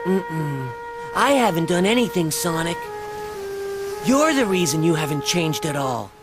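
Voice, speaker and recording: Gentle, slow ancient little creature. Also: female voice, fairly low pitch, very animated, music in the background. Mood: tender. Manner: gentle, earnest, slow-spoken. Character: small, sweet, ancient fairy-like creature.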